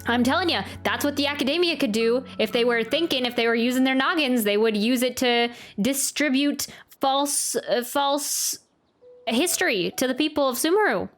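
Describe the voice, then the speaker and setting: Woman, fairly high voice, casual game reaction, rambling and chatty, jokey asides, faint music behind. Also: normal speed. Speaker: streamer reacting to a video game.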